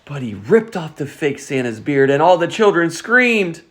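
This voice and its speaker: A storyteller reading a children's picture book aloud in an expressive, scripted way. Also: mid-range voice, normal speed. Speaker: storytime reader.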